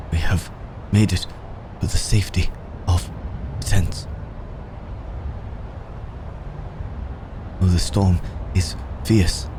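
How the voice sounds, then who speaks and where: Over clear storm ambience comes a humble, devoted male servant acted by a man in an audio roleplay. His voice is very low, and he chooses formal, deferential words haltingly, at a very slow pace. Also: noisy background. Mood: relieved, halting. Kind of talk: ASMR audio roleplay drama.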